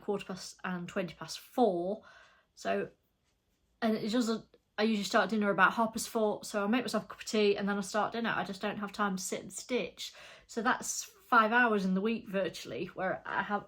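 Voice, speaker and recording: A woman, a bit bunged up with a cold, chatting casually and rambling in a craft vlog at home. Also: mid-range voice. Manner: rambling, chatty, soft-spoken with frequent fillers. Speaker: flosstube vlogger. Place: her home.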